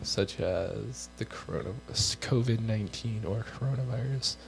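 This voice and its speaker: A man's voice: lowish voice, moderate pace, vocal fry, slight background noise. A young man from the United States on a casual co-hosted show, reading health guidelines aloud with offhand joking asides, and he is losing his voice. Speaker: co-host.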